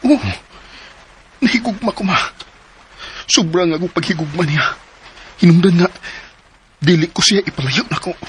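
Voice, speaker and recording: An actor playing a devoted, worried young man in love in a Philippine radio drama, earnest and halting, with a Cebuano Filipino accent. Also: high voice, a bit bright, a little hiss or hum. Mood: earnest, loving.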